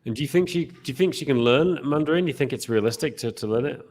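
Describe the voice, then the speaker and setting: Fast-talking man hosting a podcast. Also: medium pitch, animated, a little mellow. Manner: rambling questions, many restarts. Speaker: podcast host. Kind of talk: casual interview.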